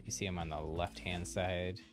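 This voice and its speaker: A man's voice: sits low, level tone, normal speed, soft background music. A man livestreaming a video game with casual, chatty, informal commentary. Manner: informal, often chuckles between remarks.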